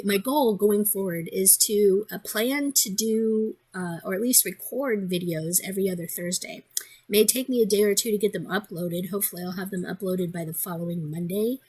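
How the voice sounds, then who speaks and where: A woman with a hoarse, congested voice, chatting casually in a crafting vlog, rambling with many ums and restarts. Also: medium pitch. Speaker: crafting vlogger.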